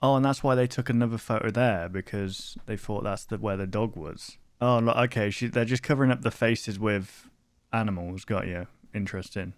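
A man's voice: lowish voice, normal speed, no room sound. A man in his twenties giving laid-back, casual reaction commentary and reading on-screen text aloud. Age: 20s. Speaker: reaction video host. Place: small room at home, indoors. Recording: clear background noise.

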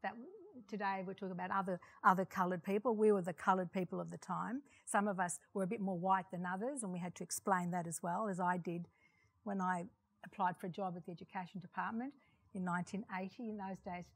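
An older woman, speaking as a panel guest in a reflective, measured way, recorded on a lapel mic in a large indoor atrium. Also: medium pitch. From Greece. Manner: reflective, measured, gestures while talking. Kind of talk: panel discussion answer.

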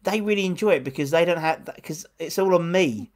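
A man's voice: fairly high pitch, a little fast. A man hosting a casual video-call chat from home, rambling with lots of restarts. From England. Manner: rambling, chatty, many restarts. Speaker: host. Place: home room lit pink. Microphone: wired earphones on video call.

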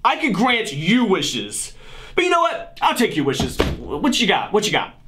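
Young male host, sarcastic, exasperated, high and lively voice, desk-cam at home. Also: moderate pace, noisy background. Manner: exasperated, sarcastic, animated rants. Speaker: YouTuber host. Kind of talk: comedy sketch. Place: home room with posters, indoors.

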